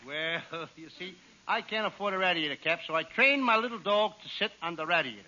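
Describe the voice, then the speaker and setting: A man playing a naive, excitable comic in a 1940s radio comedy, sputtering and childlike. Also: high-pitched, brisk, noisy background. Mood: eager. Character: naive excitable man, high-voiced and whiny. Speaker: comedian in a radio double act. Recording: dull old radio transcription.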